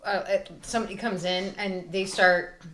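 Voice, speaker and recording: A woman's voice: medium pitch, fairly even intonation, normal speed, a crowd in the background. A woman talking casually in a group conversation. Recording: echoey room.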